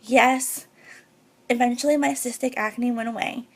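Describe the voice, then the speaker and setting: A young woman with a high, girlish voice that sits above most women's, with a gravelly fry at the ends of her phrases. She speaks to her viewers earnestly and a little hesitantly, in a personal, heartfelt way. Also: steady tone, unhurried. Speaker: beauty vlogger. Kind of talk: personal talk to camera.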